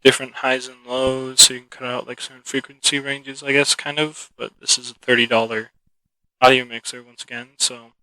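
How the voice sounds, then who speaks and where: A man's voice: mid-range voice, level tone, brisk, sharp tone, dry recording, poor audio. A man casually rambling through a review of a cheap audio mixer, thinking aloud as he goes, speaking into a cheap microphone. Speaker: product reviewer. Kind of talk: casual unscripted product review. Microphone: cheap mixer-connected microphone.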